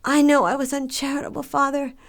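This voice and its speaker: A woman's voice: high-pitched, completely flat delivery, brisk. A woman playing a haughty, easily scandalized mother in a religious audio drama. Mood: indignant, disdainful.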